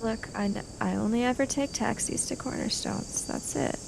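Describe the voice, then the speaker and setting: A woman playing a tabletop role-playing game, improvising narration and in-character speech in a halting, tentative way. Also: a little high, gravelly. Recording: noisy track with cricket ambience.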